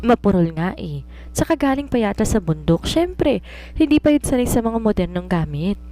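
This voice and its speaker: A woman's voice: mid-range voice, sing-song, moderate pace, warm tone, lightly acted. A woman playing a teasing, snobbish young friend. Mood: amused, mocking. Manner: teasing, dismissive.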